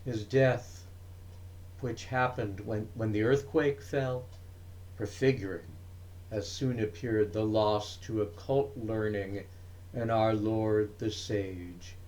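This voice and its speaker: A man's voice: fairly low pitch, fairly even intonation, takes it slowly, a little mellow, poor audio. A man voicing a learned, troubled ancient physician in a dramatic poem, speaking in a formal, pensive, emphatic manner. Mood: grave.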